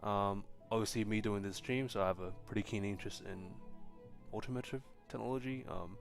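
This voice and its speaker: A man's voice: fairly low pitch, a little fast, creaky, faint music behind. A young man, born in China, hosting a casual tech live stream, answering chat questions with lots of ums and restarts. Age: young adult. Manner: relaxed, frequent ums and restarts.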